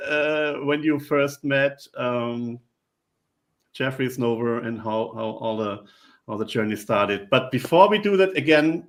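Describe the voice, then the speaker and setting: A man's voice: medium pitch, fairly bright tone. A man hosting a livestream panel over a video call, friendly and rambling, with many fillers. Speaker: livestream host.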